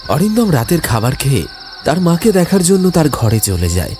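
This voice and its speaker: A man's voice: on the high side, sing-song, normal speed. A man reads a scripted horror story in a dramatic, suspenseful manner. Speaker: narrator. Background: music, insect sounds.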